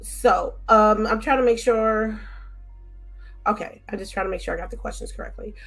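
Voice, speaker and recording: A woman's voice: mid-range voice, normal speed, fairly bright tone, soft background music. A woman with an American accent hosting a casual gaming podcast and reading listener questions aloud to her co-hosts. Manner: reads questions aloud, apologetic and laughing. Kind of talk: casual chat.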